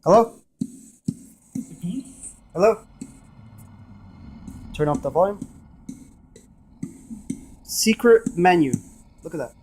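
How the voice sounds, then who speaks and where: A man's voice: high-pitched, lively intonation, takes it slowly, pauses often, a little mellow, faint music behind. A man giving a casual, emphatic and repetitive hands-on gadget demonstration. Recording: noisy room with device static. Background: radio scanning static.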